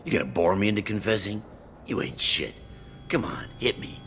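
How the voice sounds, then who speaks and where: A man playing a cocky, crude crime boss in a video game, with a gravelly, mocking, slangy tough-guy delivery. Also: lowish voice, lively intonation, normal speed, fairly bright tone, slight background noise. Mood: sneering.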